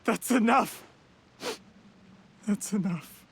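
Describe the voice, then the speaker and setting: A man's voice: sits high, takes it slowly, gravelly, heavily acted. An actor playing a cornered, ambitious younger man in a video game, defensive and self-justifying. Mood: distressed. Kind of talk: dramatic video game voice acting.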